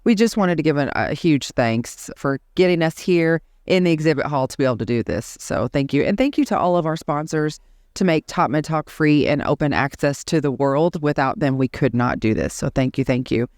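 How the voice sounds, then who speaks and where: A woman hosting a medical podcast interview at a congress, effusive and quick to laugh. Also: low-pitched, expressive, darkish tone, dry recording, clean studio sound. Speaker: podcast host. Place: exhibit hall at a congress.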